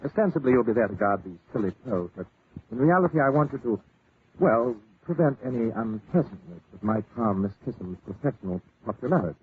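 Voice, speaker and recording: A man's voice: medium pitch, lively intonation, fairly quick, lightly acted. A man acts out a wealthy English lord in an old radio play, flustered and indignant. He rattles along in an upper-class British accent, stammering as he goes. The sound is a tinny old broadcast with light noise. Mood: earnest, worried. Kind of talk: 1940s radio acting.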